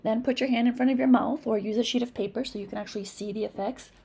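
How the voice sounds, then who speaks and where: A woman's voice: on the high side, fairly even intonation, fast talker, gravelly, dark tone, slightly rough. A woman from Massachusetts with a New England accent, a native Spanish speaker, patiently teaching phonics and modeling speech sounds in an instructional video. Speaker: reading teacher.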